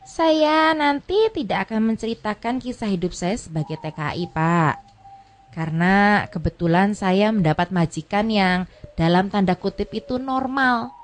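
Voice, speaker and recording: An actress playing an earnest, chatty former migrant worker in a radio drama, with an expressive, explanatory way of talking. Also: female voice, medium pitch, measured pace, a little hiss or hum, lightly acted. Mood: chatty, explaining.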